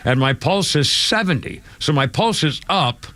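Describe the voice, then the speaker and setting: A man's voice: a little high, animated, normal speed, bright, forceful tone, no echo. An older man from New England hosting a radio talk show, rambling through stories with many restarts and laughs in a studio. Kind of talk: casual radio talk and storytelling. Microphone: studio microphone.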